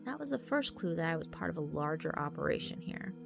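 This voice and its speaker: A woman narrates a first-person story in a wry, conversational, expressive way. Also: low voice, quick pace, vocal fry. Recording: background music under the voice. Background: background music.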